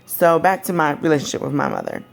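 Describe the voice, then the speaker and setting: A young woman with a raspy, deep voice, speaking candidly in a personal vlog. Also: huge pitch swings, quick pace. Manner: candid, frank and conversational. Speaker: vlogger. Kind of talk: personal storytelling to camera.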